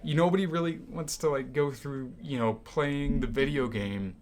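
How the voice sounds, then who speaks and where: A young man co-hosting a student podcast, talking casually about movies with animated, hyperbolic reactions. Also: mid-range voice, moderate pace. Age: young adult. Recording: noticeable background room noise.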